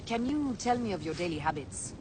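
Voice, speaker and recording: An actress playing a composed, confident royal servant and wife in a video game, speaking in a measured, formal way. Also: female voice, medium pitch, big pitch swings, background noise, lightly acted. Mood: composed, businesslike.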